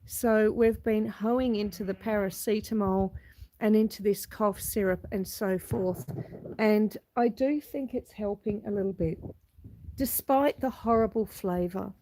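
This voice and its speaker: A middle-aged Australian woman with a husky, hoarse voice from a cold, chatting casually as she greets viewers on a live stream from her homestead, filming on her phone outdoors. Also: medium pitch, measured pace, mellow, low-quality recording. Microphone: phone camera.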